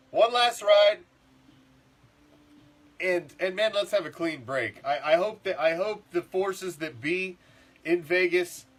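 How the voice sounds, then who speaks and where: A middle-aged man, congested and rough-throated from the flu, ranting casually as a sports podcast host, swearing and repeating himself. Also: high-pitched, low-quality recording. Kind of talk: profane casual sports rant.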